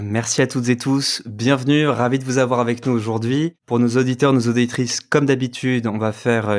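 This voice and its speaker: A man co-hosting a podcast, giving a measured, scripted explanation as an enneagram coach and trainer. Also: medium pitch.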